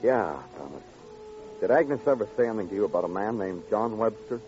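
An actor playing a world-weary police detective, speaking in clipped sentences in an old radio crime drama. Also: male voice, fairly low pitch, expressive, brisk, darkish tone, close to an everyday voice. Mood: probing. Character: low-key, world-weary police detective who narrates his cases. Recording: dull old radio recording, music behind.

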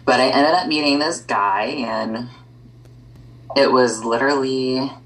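A woman's voice: very deep voice, a little slow, a bit bright. A woman speaking as a podcast guest in a casual conversation, easygoing and thinking aloud with frequent ums and likes.

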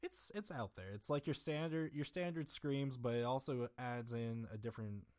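A man's voice: medium pitch, normal speed. A young man chatting casually as a guest drummer, rambling with restarts, his voice a bit strained. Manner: relaxed, rambling with restarts and fillers. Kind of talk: casual chat.

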